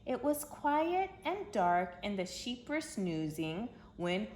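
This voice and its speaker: A woman reading a children's story aloud in an expressive, emphatic storytelling manner. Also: mid-range voice, slow pace. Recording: roomy echo with background hiss.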